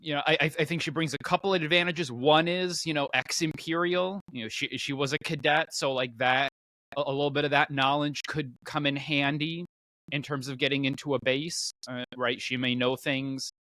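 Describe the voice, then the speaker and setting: A man co-hosting a podcast in casual chat, explaining things haltingly and repeating his words as he goes. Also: on the high side, fairly even intonation, bright, forceful tone, no room sound. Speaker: podcast host.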